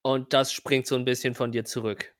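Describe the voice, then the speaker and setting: Mann als stockend beschreibender Spielleiter. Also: male voice, medium pitch, flat delivery, no echo, clean studio sound. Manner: halting, descriptive narration addressed to the player. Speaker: game master. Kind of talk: narrating a tabletop role-playing game.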